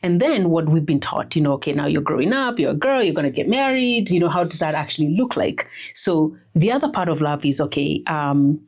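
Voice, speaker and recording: A woman in her fifties with a somewhat low voice. She reflects on her own life in an unhurried, storytelling way during a relaxed roundtable chat. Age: 50s. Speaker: roundtable guest. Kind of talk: casual chat.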